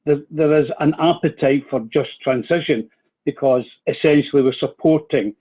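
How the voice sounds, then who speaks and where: A man's voice: on the high side, fairly even intonation, normal speed, slightly rough. Scottish man on a tinny call line. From Scotland. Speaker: union official. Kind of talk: call presentation.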